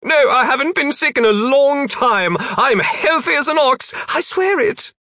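A man's voice: expressive, unhurried, bright, forceful tone, no echo, studio quality, full character voice. A man voicing a frightened, simple townsman in an audiobook story, panicky, earnest and pleading. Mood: desperate pleading. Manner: panicky, high-strung, earnest.

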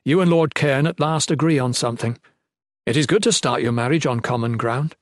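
A man's voice: mid-range voice, fairly quick, no echo, lightly acted. A man voicing a defiant, loyal guardsman in a fantasy audiobook, speaking firmly with dry formality and stoic pride. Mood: dry, sardonic.

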